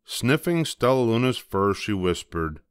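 Smooth, deep older man reading a story. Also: very animated, unhurried, no room sound. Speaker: storybook narrator. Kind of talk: scripted children's story narration.

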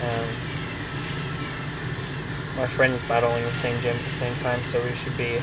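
A man's voice: medium pitch, measured pace. A young man casually narrating his mobile gameplay outdoors, with frequent ums and restarts. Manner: relaxed, many ums and restarts. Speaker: gaming vlogger. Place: outdoors near a restaurant and park. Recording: outdoor recording with loud traffic noise.

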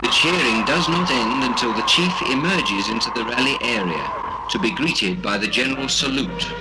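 Man reading lively vintage film commentary. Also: mid-range voice, moderate pace, very noisy. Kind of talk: scripted 1960s documentary film commentary. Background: loud music.

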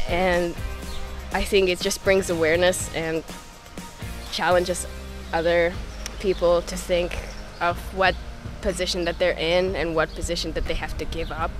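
A young woman with a lowish voice answers a street interview very slowly and thoughtfully. She speaks into a handheld mic outdoors. Music plays beneath her. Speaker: passerby. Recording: music bed under voice.

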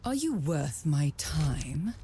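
A woman's voice: deep voice, big pitch swings, slow pace, noisy background, exaggerated voice. An actress playing a proud, weary and solemn female leader in a fantasy video game. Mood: grave, testing.

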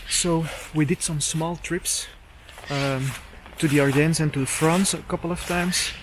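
A man's voice: on the high side, fairly even intonation, measured pace, background noise. A man casually narrating his walk around an abandoned villa outdoors, enthusiastic and full of fillers, speaking into a handheld camera mic. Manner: chatty, enthusiastic, many fillers. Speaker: urban explorer vlogger. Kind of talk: casual walkthrough commentary.

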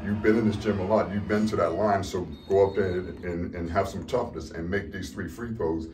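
A man's voice: deep voice, fairly even intonation, a little fast, darkish tone. A middle-aged man, a head basketball coach, giving an upbeat postgame interview in an arena corridor, rambling and restarting his sentences. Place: arena corridor by a painted wall, indoors. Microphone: handheld camera from a few feet off. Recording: distant mic with arena noise.

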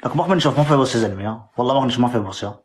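Fast-talking young man peppering "Wallah". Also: mid-range voice, expressive, echoey room, a little hiss or hum. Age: 20s. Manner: street slang, repeats "Wallah" constantly. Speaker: livestream guest. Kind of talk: casual livestream banter.

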